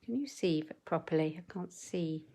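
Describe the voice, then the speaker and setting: A woman demonstrating card-making in a chatty, step-by-step way, her voice a little croaky. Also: on the low side, expressive, warm tone, a little hiss or hum. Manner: chatty, measured step-by-step instructions. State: slightly croaky voice. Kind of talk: live crafting tutorial.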